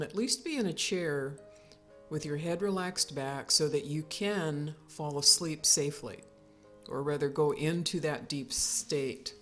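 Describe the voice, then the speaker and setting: A woman leading a guided yoga nidra relaxation as the instructor, speaking in calm, soothing, unhurried instructions with pauses. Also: deep voice.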